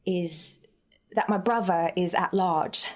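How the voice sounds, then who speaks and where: A woman voicing a timid, humble young woman in a dramatic literary reading, earnest and tearfully grateful, halting as she speaks. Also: on the low side. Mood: nervous, earnest. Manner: trembling, halting, repeats herself.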